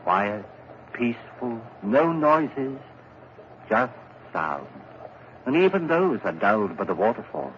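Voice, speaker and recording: A man's voice: medium pitch, sing-song, slow pace, pauses often, fairly bright tone. A kind earnest man in an old radio play, voice swooping all over, muffled with some hiss. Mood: hopeful. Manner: earnest, pleading, theatrical. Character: gentle, idealistic, earnest man with keen hearing. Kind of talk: 1940s radio drama acting. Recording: muffled, hissy 1940s radio recording.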